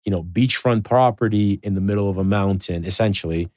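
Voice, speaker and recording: A man's voice: on the low side, a little fast, no room sound, clean studio sound. A man in a casual podcast conversation, talking in a loose, rambling way with lots of fillers. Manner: rambling, filler-heavy, animated storytelling.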